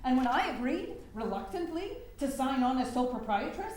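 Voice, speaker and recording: An actress in a staged reading playing an earnest, sharp-tongued nineteenth-century suffragist, with formal, emphatic delivery. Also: female voice, high-pitched, very animated, a little fast, slight background noise. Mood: reproachful. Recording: very echoey room.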